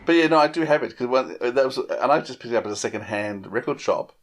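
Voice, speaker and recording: A man's voice: medium pitch, lively intonation, fast. A man chatting casually on a podcast, rambling and repeating words as he works through his thoughts. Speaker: podcast panellist. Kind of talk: casual chat.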